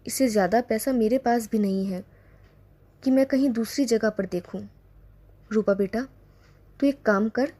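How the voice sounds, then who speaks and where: Casting: woman playing poor street-vendor mother in a cartoon story, husky voice, plaintive and careworn. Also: medium pitch, dark tone, close to an everyday voice. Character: poor worried mother selling roasted corn.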